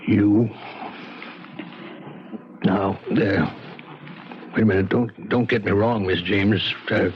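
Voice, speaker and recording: An old radio mystery recording with faint noise carries a shy American police lieutenant. He speaks slowly and hesitantly, stumbling over his words like an awkward suitor, in a lowish voice. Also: steady tone. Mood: shy, fumbling. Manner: halting, stammering, hesitant. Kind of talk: 1970s radio mystery drama acting. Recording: dull, noisy vintage radio broadcast.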